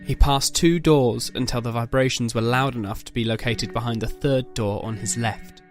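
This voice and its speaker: A man narrates a scripted dramatic story in a measured, literary manner. His voice sits at a medium pitch, with soft music running behind it. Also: steady tone.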